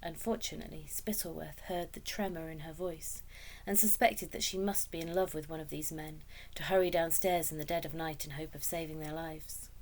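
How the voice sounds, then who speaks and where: A woman reads a children's story aloud. Her voice is a little lower than average. The delivery is scripted, expressive storybook narration. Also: moderate pace, low-quality recording. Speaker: story reader.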